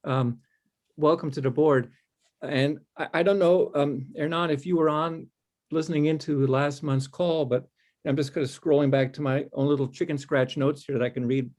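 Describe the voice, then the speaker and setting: A man's voice: on the high side, steady tone, moderate pace, a little mellow. A man chairing a city board meeting over a video call, speaking in a friendly, rambling way with many fillers.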